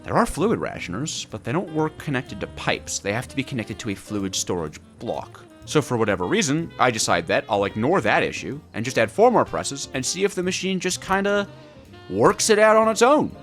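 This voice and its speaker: A male gaming narrator with a very lively, swooping delivery. He reads scripted story narration in a theatrical, mock-grandiose manner, with light music behind him. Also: mid-range voice, moderate pace.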